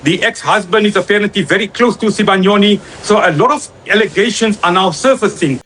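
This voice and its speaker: A man with a very high-pitched voice reports live news. His delivery is a little halting, with repeated words, over faint ambient noise. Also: bright, forceful tone.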